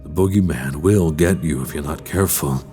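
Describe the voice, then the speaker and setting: A man playing a sinister horror-story host in an audio drama, narrating in a slow, deliberate, theatrical and menacing way. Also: a bass voice, mellow. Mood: ominous. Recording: long reverb, music underneath.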